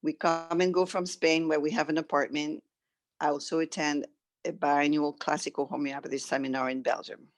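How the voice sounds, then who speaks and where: A woman's voice: sits low, fairly even intonation, moderate pace. A middle-aged woman from France with a French accent, reading a written personal story aloud as a guest.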